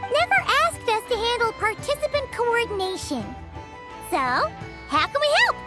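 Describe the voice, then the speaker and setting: A woman voicing a tiny, cheeky fairy-like girl companion in an anime-style video game, with a squeaky childlike voice. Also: very animated, normal speed. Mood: helpful. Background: background music.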